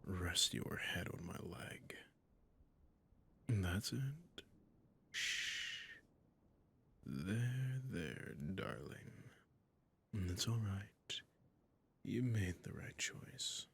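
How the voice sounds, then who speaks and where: A man playing a sadistic, possessive vampire master in an intimate ASMR roleplay, speaking in a breathy, husky, purring and condescending way, often in a whisper. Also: fairly low pitch, animated, very slow, long pauses, a bit bright, exaggerated voice. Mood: soothing, possessive.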